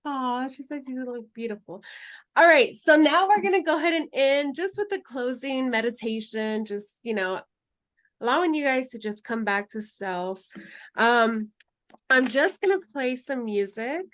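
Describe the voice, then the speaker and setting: A woman teaching an herbalism class in a relaxed, chatty way, then leading a guided meditation. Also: sits high, normal speed. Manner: relaxed, chatty, laughs easily.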